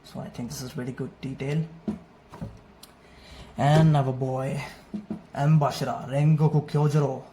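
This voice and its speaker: A man whose first language is not English, casually showing his manga collection in an unhurried, friendly way and dropping Japanese terms into his English. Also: mid-range voice, fairly even intonation, mellow. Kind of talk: casual show-and-tell overview. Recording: faint noisy room ambience. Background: faint background noise.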